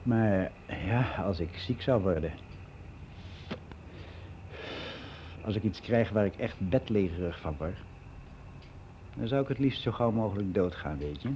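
A man's voice: fairly low pitch, expressive, moderate pace, mellow, a little hiss or hum, close to an everyday voice. An actor playing a candid, reflective older man, confiding matter-of-factly in a conversational radio drama monologue. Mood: sober, reflective. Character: candid, reflective ageing man, worldly and unbelieving.

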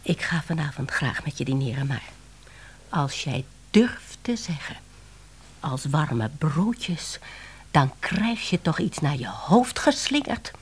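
A publishing department head, very low husky voice, composed, terse, dry, radio play. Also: female voice, sing-song, slow, heavily acted. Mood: dryly exasperated. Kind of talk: Dutch radio drama acting.

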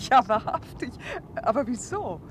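A woman's voice: fairly high pitch, big pitch swings, a little slow. An actress playing a concerned, attentive society lady and confidante in a vintage German radio drama. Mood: pensive. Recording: faintly noisy 1960 radio recording.

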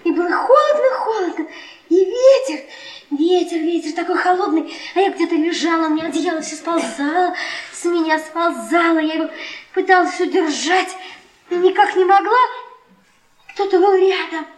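An actress playing a frightened, drunken young wife in a theatrical radio play, childlike and distraught, whimpering and repeating herself. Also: female voice, extremely high pitch, normal speed, roomy sound. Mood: fearful, dazed. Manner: whimpering, obsessively repeating phrases.

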